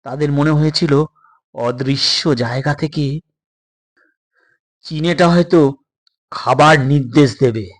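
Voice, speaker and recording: Narrator for suspense audio story: husky voice, unhurried, measured dramatic delivery. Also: medium pitch.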